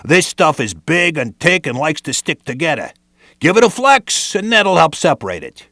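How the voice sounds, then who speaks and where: Brief: New man, wiseguy training narrator, higher voice, dry close mic. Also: sing-song, normal speed, sharp tone, dry recording. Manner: streetwise Brooklyn tough-guy patter. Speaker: training video presenter. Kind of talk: scripted instructional narration.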